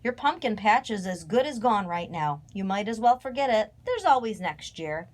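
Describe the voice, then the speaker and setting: A woman's voice: medium pitch, sing-song, normal speed. A woman voicing a motherly scarecrow in a children's storybook, folksy, sighing and resigned.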